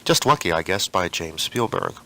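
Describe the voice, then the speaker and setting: A man's voice: animated, normal speed, pushed and bright. A man narrating scripted fiction as a storyteller. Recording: noisy tape with low rumble.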